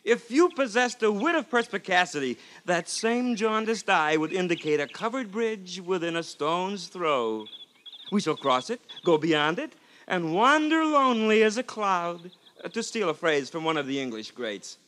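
An actor playing a flamboyant, mischievous braggart with grandiloquent, excitable, florid speech in a 1950s radio drama. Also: male voice, sits high, moderate pace. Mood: grandiloquent, scornful. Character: flamboyant, bombastic, mischievous male rascal and braggart companion.

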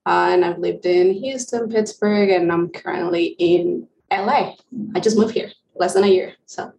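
A woman from Venezuela, a software engineer guest, chatting casually with frequent restarts. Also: medium pitch, echoey room, a little hiss or hum, rough recording. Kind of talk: casual interview chat.

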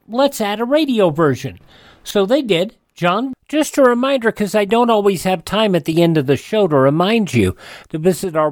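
A man's voice: high voice, dry recording. Male radio host, very dry studio sound. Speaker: classic radio show host. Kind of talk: informal radio hosting.

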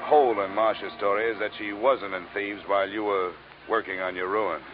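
An actor playing a tough, skeptical insurance investigator in an old radio detective drama, with dry, clipped, world-weary delivery. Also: male voice, on the low side, fairly even intonation, a little fast, music in the background, natural voice. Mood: skeptical, probing. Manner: hard-boiled, dry, clipped. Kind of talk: 1940s-50s radio detective drama acting. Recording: dull, noisy old radio recording.

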